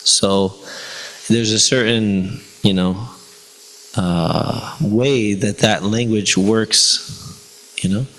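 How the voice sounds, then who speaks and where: A man's voice: lowish voice, fairly even intonation, very slow, pauses often, a bit bright, rough recording. A man whose first language is Spanish, giving an informal devotional class in a chatty way, with rhetorical questions to his listeners. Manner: conversational, many fillers and rhetorical "right?". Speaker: religious class teacher.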